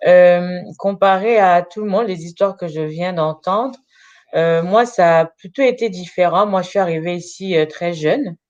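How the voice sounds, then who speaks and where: A woman in her thirties from Congo, answering interview questions about her life as a career counselor, often pausing with filler sounds. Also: on the low side, a little mellow, no echo, muffled recording. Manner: hesitant, frequent filler pauses.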